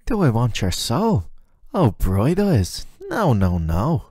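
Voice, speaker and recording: A man's voice: mid-range voice, wildly swooping pitch, slow, a little mellow, slightly rough, dry recording, clean studio sound, heavily acted. Man voicing a flirty, swooping, sardonic demon. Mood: seductive, tempting. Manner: teasing, casual and slangy, intimate. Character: flirty, sardonic, ageless male demon playing tempter. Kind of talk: roleplay audio acting addressed to the listener.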